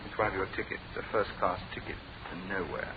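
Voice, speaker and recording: An actor with a British accent playing a coolly menacing, sardonic private detective who gives clipped commands in a radio drama. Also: male voice, sits low, lively intonation, fairly quick, gravelly. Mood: stern accusation. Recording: thin phone-like old radio recording, crowd-like noise.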